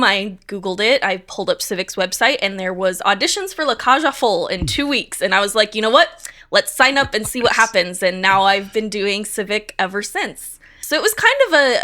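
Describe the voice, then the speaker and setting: A chatty young woman talks through an interview in an easygoing, upbeat way, her words full of casual fillers. Her voice is bright and forward, and her pitch sits in the middle. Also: animated, normal speed. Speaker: stage manager.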